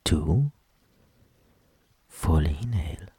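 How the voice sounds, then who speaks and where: A man leading a guided meditation in a soft, soothing voice with gentle instructions that trail into whispers. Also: very deep voice, animated, breathy edge, no echo. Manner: slow, gentle instructions with long pauses.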